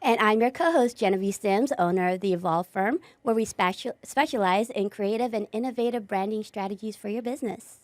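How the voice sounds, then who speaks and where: A woman co-hosting a business podcast in a studio, chiming in with quick agreement. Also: mid-range voice, no room sound. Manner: laughs along, quick agreeing interjections. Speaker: podcast co-host. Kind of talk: casual interview chat.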